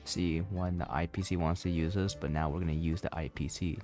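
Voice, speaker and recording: Male gamer commentator: extremely low voice, informal and explanatory, faint music behind. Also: fairly even intonation, fairly quick, a little mellow. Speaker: gaming video creator. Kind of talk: casual playthrough commentary.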